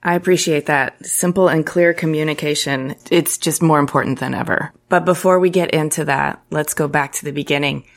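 A woman hosting a podcast, introducing her guest and asking questions in a clear, friendly way. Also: low-pitched, steady tone, fairly quick, few pauses. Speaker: podcast host. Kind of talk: interview hosting. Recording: music playing behind the voice.

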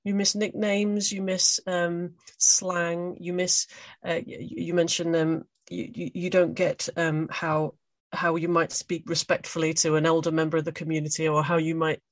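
A podcast host makes a point hesitantly, with frequent restarts. The pitch is middling. The recording is dry and close. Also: dry recording. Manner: hesitant, with many restarts and fillers. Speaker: podcast host and magazine editor. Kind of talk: podcast conversation.

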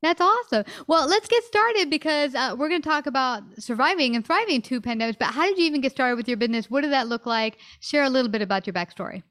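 An effusive woman hosting an interview, her voice a touch high. She piles on fillers and restarts as she keeps the conversation moving. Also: animated, fast talker, hardly any pauses, a bit bright. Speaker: talk show host.

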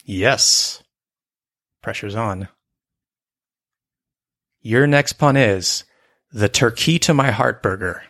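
A man co-hosting a podcast, relaxed and teasing in casual chat. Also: on the low side, slow pace, pauses often. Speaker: podcast co-host.

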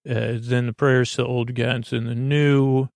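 A man hosting a bedtime podcast in a rambling monologue, halting and often losing his thread, with a deadpan whimsical manner. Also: medium pitch, creaky, dry recording, studio quality. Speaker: podcast host.

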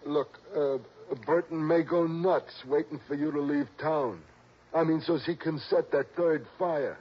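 A man with an American accent playing an injured, loyal sidekick in a radio drama, earnest and halting, with a weakened, husky voice. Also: on the high side. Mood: weak but eager. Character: injured, loyal, eager male sidekick resting in bed. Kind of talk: old-time radio drama acting. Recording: dull vintage radio broadcast.

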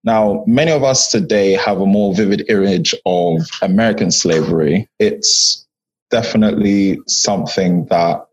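A man's voice: lowish voice, unhurried, husky. A man moderating a reflective, casual discussion, in a slightly dull recording.

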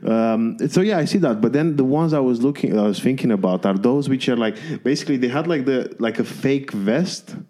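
A man's voice: medium pitch, a little fast, few pauses, dark tone. A man from Romania, a podcast guest, talking casually and hesitantly, with many restarts. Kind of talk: casual chat.

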